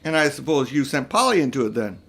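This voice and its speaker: A man's voice: medium pitch, big pitch swings, quick pace, fairly bright tone. A man voicing an outspoken schoolboy nephew in a storybook, blunt, accusing and defiant. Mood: challenging. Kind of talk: storybook character reading.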